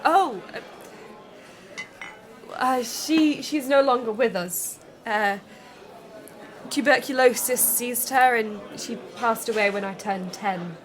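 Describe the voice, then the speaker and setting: A woman's voice: a little high, slow pace, long pauses. An actress playing a sheltered, proper young Victorian lady with an upper-class English accent, formal and hesitant, in a period radio drama.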